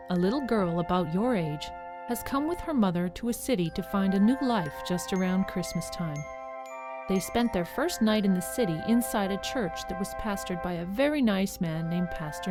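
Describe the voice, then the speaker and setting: A woman tells a scripted story for young listeners. Her mid-range voice is measured, with dramatic pauses, and music plays behind her. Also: mid-range voice. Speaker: narrator.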